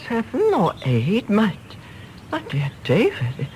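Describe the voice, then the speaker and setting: Radio drama: older, anxious mother, unhurried but swooping intonation, fussing over everyone, faint noise behind. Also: mid-range voice, wildly swooping pitch. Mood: coaxing. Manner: fussing, gently coaxing, hesitant. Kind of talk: radio play acting.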